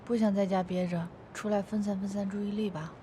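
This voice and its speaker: A woman's voice: medium pitch, flat delivery, quick pace, warm tone, a little hiss or hum, natural voice. A woman playing a young wife and mother in a naturalistic screen drama, colloquial and plain-spoken. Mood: downcast, skeptical. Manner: terse, questioning, colloquial. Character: downcast, skeptical young wife and doting mother.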